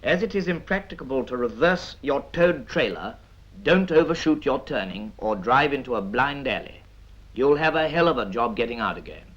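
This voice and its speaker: A British man reads scripted 1950s military training film narration in a measured, instructive manner with dry asides. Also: mid-range voice, expressive, a bit bright. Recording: dull vintage 1950s film soundtrack.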